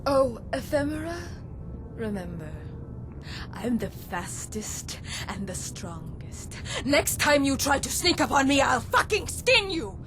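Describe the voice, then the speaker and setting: A gloating vampire cult leader. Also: female voice, high-pitched, very animated, takes it slowly, noisy background, exaggerated voice. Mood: threatening. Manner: mocking, gloating, theatrical laughs. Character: cruel boastful female vampire, cult leader.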